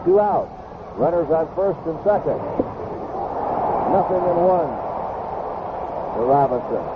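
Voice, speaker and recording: A thin, band-limited old radio broadcast with clear noise carries a man's play-by-play baseball call. His voice sits a little high, and he speaks in terse, clipped phrases with pauses between them. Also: expressive, phone-quality sound. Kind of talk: live radio sports commentary.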